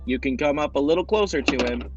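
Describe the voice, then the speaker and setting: A man's voice: a little high, animated, quick pace, highs cut off. A man hosting a quiz show, addressing contestants directly in a presenter's delivery. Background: background music.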